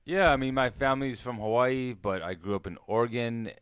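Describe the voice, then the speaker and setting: A man co-hosting a podcast, speaking in a measured, thoughtful way with hesitant starts. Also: mid-range voice, a bit bright, clear, resonant tone, dry recording, studio quality. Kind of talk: casual chat.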